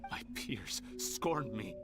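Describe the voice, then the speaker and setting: A man's voice: lowish voice, sing-song, slow, over loud music. An actor playing a bitter, self-pitying researcher turned criminal in a video game, theatrical and indignant as he confesses. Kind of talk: dramatic video game voice acting.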